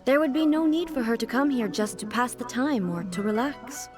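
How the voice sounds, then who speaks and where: A woman voicing a regal, godlike ruler who is composed and curious, speaking in a measured, formal and dignified way. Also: fairly high pitch, close to an everyday voice. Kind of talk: video game voice acting. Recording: game audio with clear music bed.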